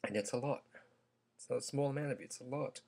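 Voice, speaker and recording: A man with a croaky voice, giving his view in a slow, halting, earnest vlog full of pauses and ums. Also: medium pitch, darkish tone. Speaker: vlogger. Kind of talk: opinion vlog.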